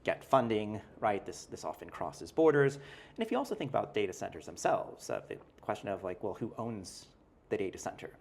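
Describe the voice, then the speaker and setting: A man's voice: fairly low pitch, big pitch swings, a little fast, vocal fry. A male professor, a guest in an interview, explaining ideas in a thoughtful, hedging way with frequent "right?" tags and restarts. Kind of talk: conversational interview.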